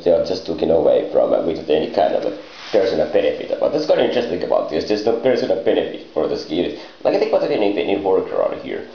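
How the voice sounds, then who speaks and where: A man improvising a rambling monologue in halting, disjointed phrases with garbled grammar. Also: low-pitched, vocal fry. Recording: muffled lo-fi, echoey room.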